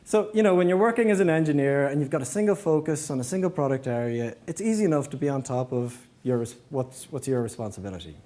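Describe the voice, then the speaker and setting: A man's voice: mid-range voice, expressive, fast talker, few pauses, dark tone. A man giving a prepared presentation as an engineering manager, explaining step by step and posing rhetorical questions. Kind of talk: prepared conference-style presentation.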